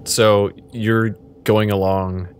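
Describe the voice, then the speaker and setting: Male game master, low register, casual and playfully literal narration, background noise on the track. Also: level tone, slow pace.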